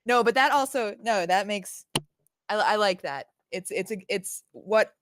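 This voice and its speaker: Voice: mid-range voice, measured pace, a bit bright, no room sound, clean studio sound. A player in a tabletop role-playing game, reacting casually to the story in conversational speech.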